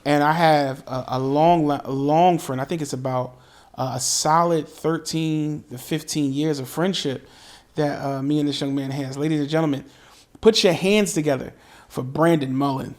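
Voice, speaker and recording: A man's voice: fairly high pitch, steady tone, normal speed, darkish tone. A young man hosting a podcast, opening with showman greetings and joking with his guest. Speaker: podcast host. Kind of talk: casual interview hosting.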